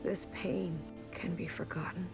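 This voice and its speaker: A woman's voice: fairly low pitch, little pitch movement, a little slow. A woman playing a resolute, embittered young sister in a science-fiction video game, firm and intense. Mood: resolved, bitter. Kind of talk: dramatic video game acting. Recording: loud game music over the voice.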